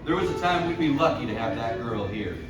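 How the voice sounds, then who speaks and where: A man's voice: medium pitch, expressive, fairly quick, lightly acted. A man playing a glowering, cryptic old villager, terse and rustic, in an amateur table reading. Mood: scolding. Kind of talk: amateur table-read acting. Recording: very echoey room, distant mic, music behind.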